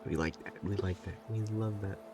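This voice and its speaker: A man streaming a video game, giving excited live reaction commentary. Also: deep voice, normal speed. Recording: game music behind the voice.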